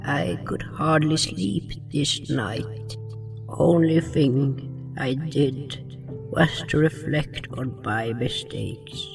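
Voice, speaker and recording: A man's voice: a little high, extremely slow, creaky, distant mic, music in the background. A man solemnly narrating a scripted first-person fantasy story in English. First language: not English. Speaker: narrator and writer of an audio drama.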